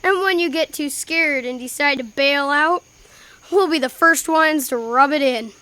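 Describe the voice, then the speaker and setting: A woman's voice: high-pitched, unhurried, sharp tone. A young female-sounding performer playing a brash, taunting boy prankster in an amateur radio drama. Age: child. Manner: brash, teasing.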